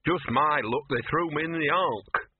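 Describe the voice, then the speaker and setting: Storybook talking mutt, male narrator performing: small, hoarse, tipsy, grumbling cynic full of self-pity; narrow radio-like sound. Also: a little high, a little slow, dry recording, voice pushed up. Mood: maudlin self-pity. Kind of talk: audiobook character voice.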